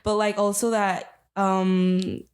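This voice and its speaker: A woman's voice: mid-range voice, level tone, slow. Hesitant woman. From Mexico. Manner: hesitant, many fillers and restarts. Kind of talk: casual chat.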